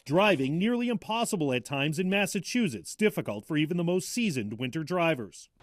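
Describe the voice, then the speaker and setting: An American male TV reporter narrates a scripted field report. His voice is on the high side for a man, with faint background noise. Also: very animated, a little fast. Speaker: television news reporter.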